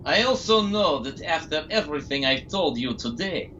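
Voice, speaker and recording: A man's voice: fairly high pitch, expressive, normal speed, bright, forceful tone, muffled recording, a little hiss or hum. A man playing a gloating, controlling villain scientist in an audio drama, pompous and taunting. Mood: smug, taunting.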